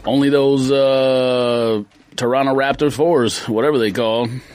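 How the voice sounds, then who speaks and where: A man's voice: mid-range voice, steady tone, a little slow, a little mellow. A man co-hosting a podcast in casual banter, tossing in dry teasing remarks, with faint noise behind. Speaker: podcast co-host.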